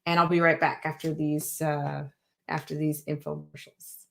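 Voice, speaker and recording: A woman's voice: low voice, level tone, moderate pace, vocal fry, fairly bright tone. A woman hosting a live stream, thanking viewers in a loose, rambling way with many fillers. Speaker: livestream host. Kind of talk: casual live stream sign-off.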